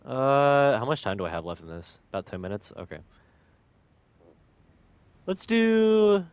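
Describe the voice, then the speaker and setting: A man's voice: mid-range voice, wildly swooping pitch, measured pace, clean tone, no echo. A man giving casual gameplay commentary, muttering indecisively as he thinks aloud. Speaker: gamer playing on stream. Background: game music.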